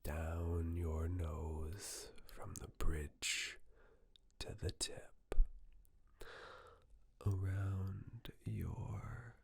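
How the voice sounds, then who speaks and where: A whispered ASMR voice of unclear sex, very low and extremely unhurried. It is breathy and husky with a gravelly edge, guiding the listener gently through soothing personal attention. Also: fairly even intonation. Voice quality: breathy, mostly unvoiced whisper. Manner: slow, gentle, soothing step-by-step instructions. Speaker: ASMR performer playing a caregiver giving personal attention.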